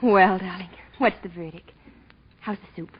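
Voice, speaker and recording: An actress playing a sweet-seeming, possessive and scheming young wife in a 1940s radio drama. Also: female voice, mid-range voice, normal speed, soft background music, natural voice. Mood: sweetly possessive. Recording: dull, band-limited 1940s radio recording.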